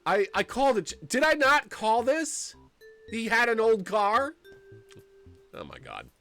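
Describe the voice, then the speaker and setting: Animated, jokey streamer man in his 40s. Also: extremely high pitch, a little slow, faint music behind. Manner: animated and jokey, laughs often, rambles. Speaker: streamer playing a story game. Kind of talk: live game playthrough, reading narration aloud and commenting.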